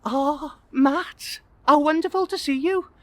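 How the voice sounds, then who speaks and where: A man voicing a warm, radiant young woman who sounds delighted and gently teasing. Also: extremely high pitch, slow pace, no echo, voice pushed up, full character voice.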